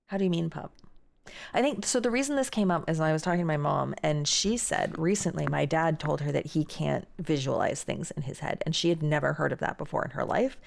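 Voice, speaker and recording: A woman's voice: low-pitched, a little fast, creaky. A young woman streaming live, chatting casually and reading out and answering viewer messages. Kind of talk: casual chat reading chat aloud.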